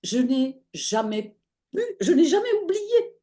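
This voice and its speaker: A woman as an interview guest, telling her story conversationally, lively and often pausing with fillers as she searches for words. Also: sits high. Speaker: interview guest, holistic practitioner.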